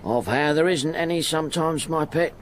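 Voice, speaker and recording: A man's voice: a little high, moderate pace, natural voice. Man acting humble working-class porter, rambling folksy dad type, radio play, noisy ambience behind. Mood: tender, surprised. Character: poor, humble ageing errand porter, devoted father. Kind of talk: radio drama acting, first-person monologue.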